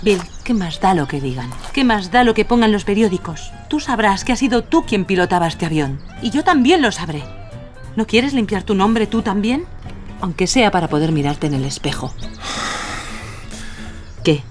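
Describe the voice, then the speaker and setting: A woman's voice: mid-range voice, big pitch swings, normal speed, soft background music, close to an everyday voice. A woman playing a determined, spirited young aviator in an audio drama. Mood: determined, persuasive. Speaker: lead actress and in-character narrator.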